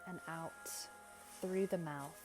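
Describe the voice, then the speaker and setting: A woman leading a guided meditation in gentle, soothing, unhurried short phrases with long pauses. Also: low-pitched, fairly even intonation. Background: background music.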